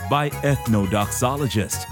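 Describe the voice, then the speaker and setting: A man's voice: medium pitch, moderate pace. A man reading a scripted announcement as an announcer for a programme intro and outro. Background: music.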